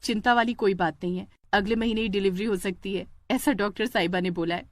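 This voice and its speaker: A woman's voice: medium pitch, steady tone, fairly quick, lightly acted. A woman voicing a widowed village mother-in-law, insistent and caring, with an emphatic motherly address. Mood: reassuring.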